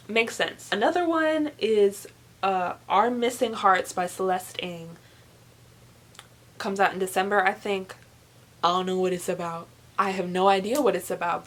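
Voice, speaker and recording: A young woman chatting casually and emphatically to camera, reviewing books in an informal way. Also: mid-range voice. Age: young adult. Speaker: book reviewer vlogger.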